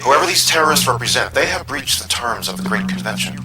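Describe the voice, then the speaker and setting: An actor playing a formal messenger in a game cutscene, delivering grave official announcements. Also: male voice, mid-range voice, lively intonation, fast talker, natural voice, low-quality recording. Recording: loud music behind.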